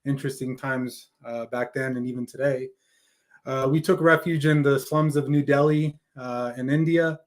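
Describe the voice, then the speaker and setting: A man's voice: mid-range voice, steady tone, normal speed. A man with an American accent, speaking as an interview guest and telling his personal story conversationally. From raised in California, born in Afghanistan.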